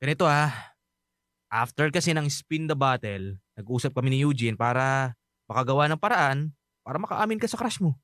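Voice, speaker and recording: A man's voice: mid-range voice, animated, normal speed, fairly bright tone, no echo, clean studio sound, close to an everyday voice. An actor playing a cocky, playful young Filipino man in a Taglish radio drama, teasing and sheepishly hesitant. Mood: pleading. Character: Eros, cocky, playful young male friend. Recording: faint music behind the voice.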